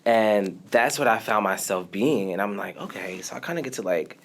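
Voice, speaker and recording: Animated low-voiced man telling his story. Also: moderate pace. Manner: animated storytelling, laughs often, trails off quietly. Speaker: podcast guest, musician. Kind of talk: casual interview chat.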